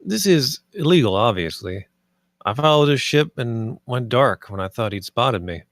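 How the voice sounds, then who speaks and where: A man acts a resourceful space assessor, gruff and pedantic. His voice sits at a middle pitch, with a dry, close sound. The delivery is that of a script table read. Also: lively intonation, normal speed, no room sound, close to an everyday voice. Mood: defensive.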